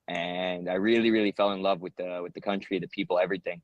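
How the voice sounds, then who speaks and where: A man's voice: deep voice, fairly even intonation, fast, no echo, lo-fi sound. A young American man answering interview questions in an earnest, rambling way, with many ums and uhs. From United States. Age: young adult. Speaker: interviewee, soldier.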